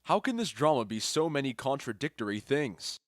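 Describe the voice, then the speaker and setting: A man's voice: mid-range voice, very animated, moderate pace, no room sound, close to an everyday voice. Man acting a confident, genial duke, dry studio sound. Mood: curious, amused. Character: confident, genial Athenian duke and bridegroom, skeptical of fancies. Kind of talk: audio drama acting of modernized Shakespeare.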